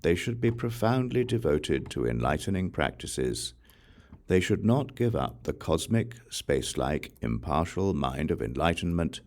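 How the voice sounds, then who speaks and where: A man reading Buddhist scripture as an audiobook narrator, in a measured, solemn recitation. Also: low voice, sing-song, a little mellow. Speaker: audiobook reader.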